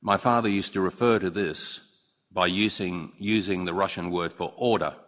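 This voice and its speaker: A man gives an earnest, measured ceremonial speech. His voice sits very low. It rings with the long reverb of a large courtroom, caught on a podium microphone. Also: steady tone, a bit bright. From Hong Kong. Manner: measured and earnest, with occasional slips and restarts. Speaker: newly appointed judge giving a speech.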